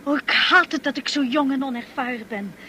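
A woman's voice: sits high, brisk, pushed and bright, a little hiss or hum. An actress playing a shy, naive woman of twenty-one in a radio drama, hesitant and apologetic in her way of talking. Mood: girlish, earnest. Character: shy, naive twenty-one-year-old young woman, infatuated and nervous.

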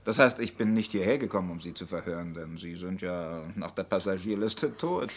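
An actor playing a sardonic, sceptical police investigator in a mid-century German radio crime play, questioning with pointed irony. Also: male voice, low-pitched, animated, brisk. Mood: sarcastic, insistent.

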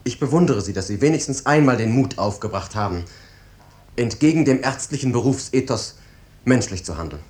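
An actor playing an earnest, troubled but resolute lawyer in a 1950s German radio drama, with formal, solemn, measured speech. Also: male voice, expressive, darkish tone, close to an everyday voice. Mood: earnest admiration. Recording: noisy 1950s radio recording with rumble.

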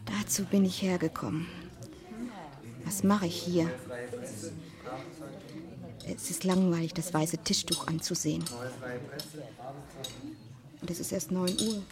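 Lonely, anxious young woman, low-voiced. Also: fairly even intonation, slow pace, pauses often, a crowd in the background. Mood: restless. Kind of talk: radio drama interior monologue.

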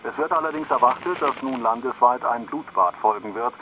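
Solemn, muffled wartime radio announcer. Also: male voice, medium pitch, a little fast. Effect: old radio filter. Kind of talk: 1940s radio broadcast in a radio play. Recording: muffled, noisy old radio broadcast.

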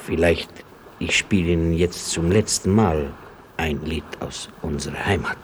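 An actor playing a devoted, sentimental servant in a radio drama, speaking in a humble, halting way. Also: male voice, low-pitched. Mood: wistful, devoted. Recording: noisy radio drama recording.

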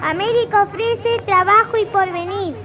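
A woman's voice: very high voice, fairly even intonation, moderate pace, bright, forceful tone, a little hiss or hum. A female performer playing a proud child speaking as a continent, reciting in a 1960s children's radio play.

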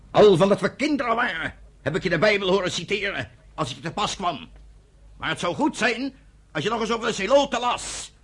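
An actor in a Dutch radio play voicing a stern, troubled elder brother who speaks gravely and with strong emotion. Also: male voice, sits high, a bit bright, exaggerated voice.

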